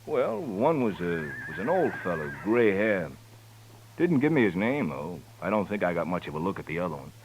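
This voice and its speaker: A man's voice: deep voice, sing-song, moderate pace. An actor playing a chatty male livery stable keeper in a 1950s radio drama, folksy and drawling. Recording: old radio broadcast with faint hiss.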